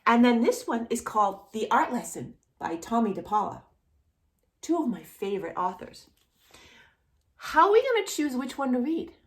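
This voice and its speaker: A woman's voice: a little high, very animated, normal speed. A woman hosting a children's storytime, speaking directly to young children in an encouraging, sing-song way with lots of little questions. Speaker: host of a children's storytime channel.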